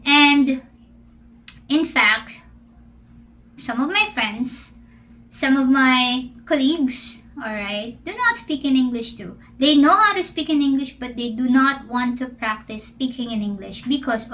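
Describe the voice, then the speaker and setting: Slow-spoken Filipino teacher. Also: female voice, high voice, animated, pushed and bright. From Philippines. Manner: chatty, questioning, drawn-out words. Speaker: English teacher and channel host. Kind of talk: casual Q&A vlog.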